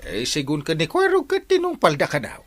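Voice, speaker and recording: An excitable village captain with an Ilocano accent. His bright, fairly high voice swoops wildly through drawn-out exclamations in a comic radio drama style. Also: heavily acted. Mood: cheerful, emphatic. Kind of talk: comedic radio drama acting.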